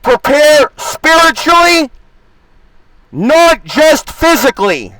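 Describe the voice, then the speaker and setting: A man's voice: very high-pitched, very animated, slow pace, bright, forceful tone, low-quality recording. A man delivering an impassioned, preachy monologue as an online video host, with a confrontational, grandiose and profane manner.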